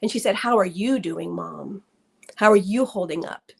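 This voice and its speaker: A woman in her thirties speaks as a guest author, her pitch sitting in an ordinary middle range. She talks earnestly, tripping over repeated words and filling gaps with "like." Her tone is conversational rather than polished. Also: normal speed, vocal fry, slightly rough. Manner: earnest, many fillers and restarts. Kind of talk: conversational interview.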